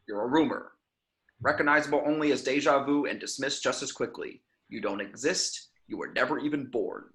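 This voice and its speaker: A man reading the part of a stern, commanding secret agency chief at an amateur script table read, measured and authoritative. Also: medium pitch, a bit bright, natural voice. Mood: solemn, commanding.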